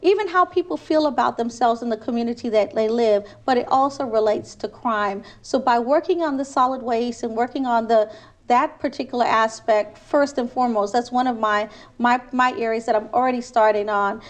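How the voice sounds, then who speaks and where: A woman's voice: on the high side, brisk. A woman from Trinidad, a city council candidate, answering interview questions in an earnest, persuasive way with frequent restarts.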